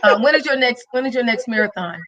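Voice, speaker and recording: A woman's voice: fairly high pitch, expressive, quick pace, fairly bright tone, highs cut off. A woman podcast host and minister giving a live-streamed motivational talk, emphatic and preachy, with a faith-filled rhythm.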